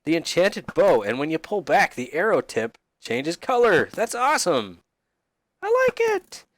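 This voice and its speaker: A man's voice: fairly high pitch, huge pitch swings, unhurried, dry recording. A man hosting a casual game review channel, goofy and rambling, a little sick and sniffly today. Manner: goofy, rambling, jokey, affectionate toward viewers. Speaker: YouTube host reviewing game resource packs. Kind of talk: casual gameplay commentary.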